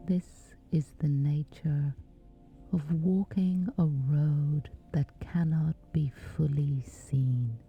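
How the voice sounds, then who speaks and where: Female meditation guide, low and gravelly whisper, unhurried short phrases, music underneath. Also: steady tone. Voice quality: whispery, breathy. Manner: slow, hushed, pausing between short phrases. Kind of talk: guided sleep meditation, soft and breathy. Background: background music.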